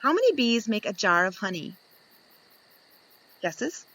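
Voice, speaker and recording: A woman reading a picture book aloud to young children, expressive and instructive, putting questions to her listeners and answering them. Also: a little high, measured pace, low-quality recording.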